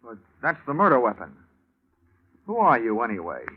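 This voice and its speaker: An actor playing a hard-boiled American insurance investigator in an old-time radio drama, wry, slangy and terse. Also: male voice, medium pitch, wildly swooping pitch, normal speed, husky. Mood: wary, wry. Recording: muffled vintage radio recording.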